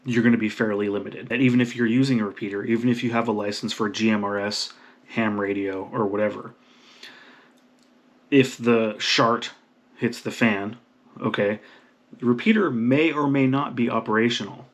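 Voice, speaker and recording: A man's voice: lowish voice, fairly even intonation, moderate pace. A man hosting an informal how-to talk, speaking emphatically and often checking in with the listener. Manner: emphatic, checks in with "right?" and "okay?". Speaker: video host explaining radio basics. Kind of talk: informal instructional talk to camera.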